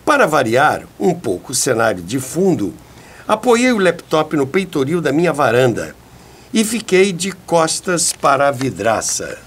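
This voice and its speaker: Guy narrating a history show, slow but lively pitch, a bit high. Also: slightly rough. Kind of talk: scripted narration.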